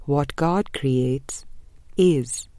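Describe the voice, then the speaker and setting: Slow, mellow woman reading aloud. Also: very deep voice, no echo. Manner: measured, deliberate, with frequent pauses. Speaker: audiobook reader. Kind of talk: scripted reading of a spiritual book.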